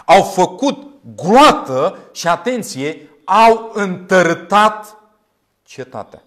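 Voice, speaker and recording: A man in his thirties from Romania preaching a sermon in an earnest, emphatic way, using deliberate repetition. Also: high voice, sing-song, a bit bright. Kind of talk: sermon with scripture commentary. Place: small indoor room, standing at a glass pulpit. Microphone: lapel mic.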